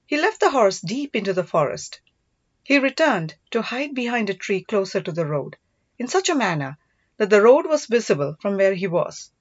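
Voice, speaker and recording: A woman's voice: mid-range voice, no echo. A woman reading a story aloud as scripted audiobook narration. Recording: dull, lo-fi, dry close recording.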